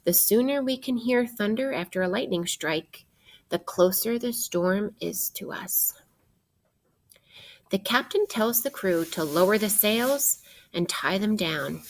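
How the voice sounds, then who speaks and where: Female teacher, interactive read-aloud for young kids, unhurried pace, mid-range pitch, deliberate delivery with direct questions. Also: animated. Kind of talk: interactive children's read-aloud of a science book.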